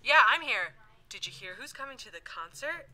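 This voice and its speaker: A woman's voice: sits high, big pitch swings, normal speed, bright, forceful tone, full character voice. A woman acting in a short demo clip as someone chatting casually on a phone call. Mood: chatty.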